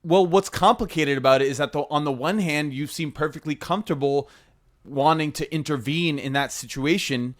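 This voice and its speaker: A man co-hosting a podcast with his brother, answering in a measured, hedging way and pushing back calmly. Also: fairly high pitch. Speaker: podcast co-host. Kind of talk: casual argument and sports chat with his brother.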